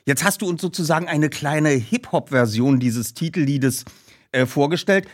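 A man's voice: a little high, normal speed, no room sound, studio quality. A man speaking as a host, presenting to his listeners. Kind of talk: scripted ad read and casual show presenting.

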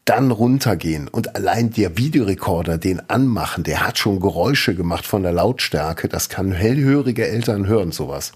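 Guy in his 40s, kinda husky and low, chatty podcast co-host telling stories. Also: moderate pace, few pauses. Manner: laughs a lot, lively storytelling. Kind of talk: casual chat.

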